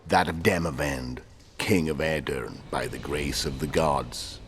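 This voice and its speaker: An actor voicing a huge, grim kingslayer in a video game, cold and commanding, speaking in terse, menacing phrases. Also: male voice, very deep voice, a little slow. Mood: mocking, commanding. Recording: music behind the voice.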